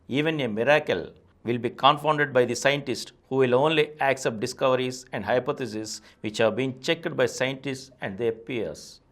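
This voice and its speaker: A middle-aged man with an Indian English accent, steadily reading a nonfiction book aloud as the host of his channel, recorded on a lapel mic in a small studio. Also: medium pitch. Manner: steady, deliberate reading, eyes on the page. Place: small studio with a painted backdrop and plants.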